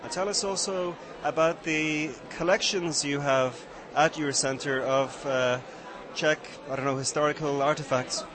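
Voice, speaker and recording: A man asks relaxed, curious interview questions out of doors, with the chatter of a crowd clear behind him. His voice sits a little higher than most men's. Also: measured pace. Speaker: radio interviewer.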